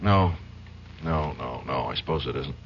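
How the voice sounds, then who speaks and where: An actor playing a weary, guilt-ridden male attorney who talks in a hesitant, halting way in a 1970s radio drama. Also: a bass voice, a little hiss or hum. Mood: troubled. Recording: dull old radio recording.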